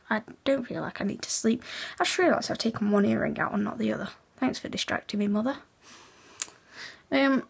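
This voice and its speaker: A teenage girl from England, raspy and hoarse, chatting quietly in a late-night webcam vlog in her room, half-whispering so as not to wake the house. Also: on the high side. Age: teens. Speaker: vlogger. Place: bedroom at night, indoors. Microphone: laptop webcam.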